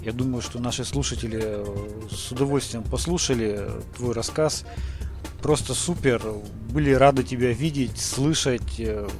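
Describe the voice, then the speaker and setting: A man's voice: mid-range voice, normal speed, over music. A man hosting a podcast, asking friendly, rambling questions with repeats. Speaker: podcast host. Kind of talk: casual podcast interview.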